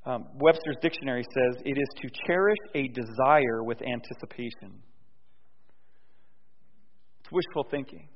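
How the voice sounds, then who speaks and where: A man preaching a sermon in a conversational way, dropping soft and low and then rising loud and emphatic. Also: moderate pace, long pauses. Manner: conversational, with restarts and fillers. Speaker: pastor. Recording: dull sound with long hall reverb.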